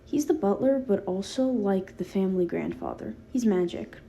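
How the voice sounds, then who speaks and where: A woman's voice: medium pitch, normal speed, creaky, warm tone, slight background noise, close to an everyday voice. A woman voicing a protective, sardonic teenage boy who talks casually and affectionately in a fan fiction audiobook. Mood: explaining. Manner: casual, rambling teen talk with filler words.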